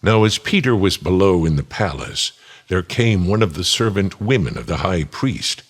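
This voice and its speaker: A man narrating scripture dramatically in a measured, expressive way. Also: deep voice, breathy edge.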